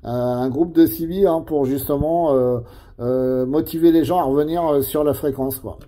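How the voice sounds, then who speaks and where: A man's voice: on the high side, fairly even intonation, moderate pace, warm tone. A middle-aged man chatting casually as a CB radio operator, adding tag words at the ends of phrases.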